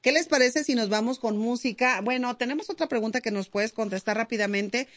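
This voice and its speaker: A woman's voice: on the high side, a little fast, dry recording, studio quality. A woman hosting a radio show in upbeat, chatty talk with hesitant fillers. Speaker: radio host. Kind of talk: casual radio chat. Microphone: studio mic.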